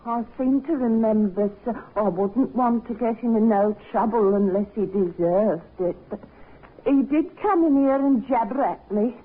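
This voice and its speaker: An actress playing an overworked, plain-spoken cook at an inn in a 1950s radio drama. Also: female voice, fairly high pitch, unhurried, slightly rough. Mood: chatty, guarded. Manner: chatty, plain-spoken, colloquial. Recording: muffled 1950s radio recording.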